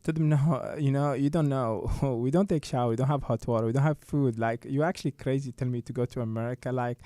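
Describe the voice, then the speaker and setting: A man in his thirties with a Tunisian accent, a radio guest telling his story in simple, halting English. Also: medium pitch, fairly even intonation, warm tone, dry recording. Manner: simple broken English with many restarts. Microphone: studio mic.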